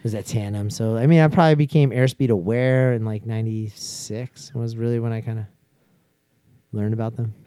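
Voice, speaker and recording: A man's voice: mid-range voice, fairly even intonation, fairly quick, mellow, dry recording. A man in his forties chatting casually as a guest, laid-back and slangy, with many restarts. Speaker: guest skydiver. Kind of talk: casual chat.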